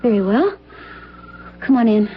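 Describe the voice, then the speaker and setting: An actress playing an anxious, well-mannered grown daughter in an old-time radio drama. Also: female voice, a little high, expressive, unhurried. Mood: worried. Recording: muffled, noisy old radio recording.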